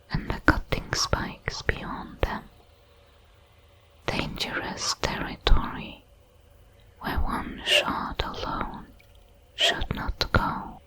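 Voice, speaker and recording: A woman voicing a detached, curious alien creature in a sci-fi ASMR roleplay, whispering in slow, deliberate, clinical phrases. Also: a bit bright, poor audio. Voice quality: breathy whisper.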